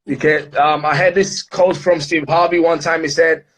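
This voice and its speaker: Upbeat guy from ghana talking on a video call, kinda high voice, lots of ums. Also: fairly even intonation, normal speed, fairly bright tone, low-quality recording. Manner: upbeat, motivational, many fillers. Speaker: guest speaker, entrepreneur. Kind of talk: casual online interview.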